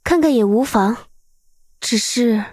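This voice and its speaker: A woman voicing a dignified, world-weary female chief of a beast-folk tribe in an animated fantasy, measured and grave. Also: a little high, no room sound, clean studio sound. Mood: calm, composed. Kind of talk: animated fantasy drama acting.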